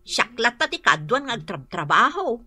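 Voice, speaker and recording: A woman's voice: fairly high pitch, very animated, normal speed, pushed and bright, husky, full character voice. A woman playing a strict, fed-up laundry shop boss in a radio drama, with an Ilocano accent and an emphatic manner. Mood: apologetic explaining. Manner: nagging, emphatic.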